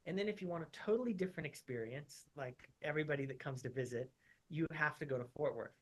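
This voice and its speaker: A man in his thirties from Utah, a surgeon answering interview questions in a relaxed, chatty way, saying "like" often. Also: a little high, fast. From Utah, USA. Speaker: craniofacial surgeon, interview guest.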